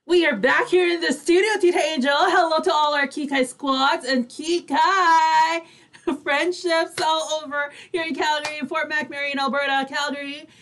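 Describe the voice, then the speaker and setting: A woman's voice: sits high, steady tone, moderate pace, a bit bright, slight background noise. A chatty, emphatic woman co-hosting a casual online talk show, readily agreeing as she talks. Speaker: co-host.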